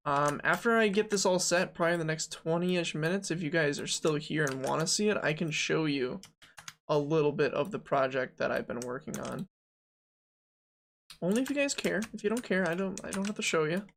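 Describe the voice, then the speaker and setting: A young streamer in their twenties with a gravelly, fry-heavy voice at a middle pitch. They narrate their own work in a loose, joking way, with plenty of ums and asides. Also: fairly quick, slight background noise. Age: 20s. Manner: joking, rambling, self-talk with fillers. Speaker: game developer streaming. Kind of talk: casual live dev stream, thinking aloud.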